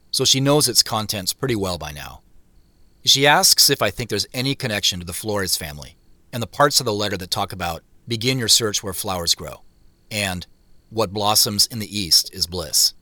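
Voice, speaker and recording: A man acting as a reflective first-person narrator in an audio drama, telling the story in a measured way. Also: lowish voice, lively intonation, sharp tone, dry recording.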